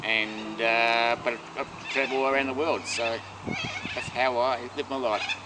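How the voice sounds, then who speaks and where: A man in his early sixties with an Australian accent, introducing himself in casual, halting interview talk. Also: medium pitch, steady tone, background noise. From Perth, Australia. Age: 60s. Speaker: hiker interviewed for a documentary.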